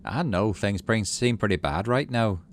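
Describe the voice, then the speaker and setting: A man voicing a weary, tender father in a visual novel, speaking in a subdued, halting way and putting on a brave face. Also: fairly low pitch, lively intonation, a little hiss or hum. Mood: holding back tears. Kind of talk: acted visual novel dialogue.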